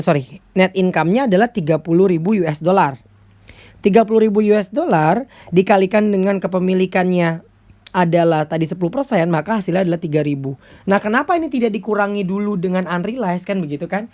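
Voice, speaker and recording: A woman's voice: on the low side, a little mellow. A woman with an Indonesian accent giving an explanatory lecture step by step, in a conversational manner with frequent little check-in tags. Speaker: accounting lecturer.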